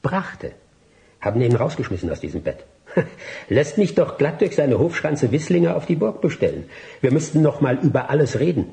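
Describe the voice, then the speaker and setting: An actor playing a brash, impatient political radical in a radio drama, blunt and scornfully sarcastic. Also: male voice, mid-range voice, very animated, normal speed, gravelly, warm tone. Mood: defiant, impatient.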